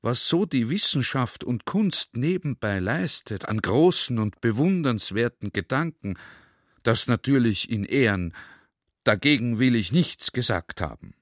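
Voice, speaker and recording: A man's voice: medium pitch, expressive, measured pace, no room sound, clean studio sound. An actor playing a talkative, earnest army general in a radio play, speaking conversationally as if to a comrade and checking that he follows. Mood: pensive, worried.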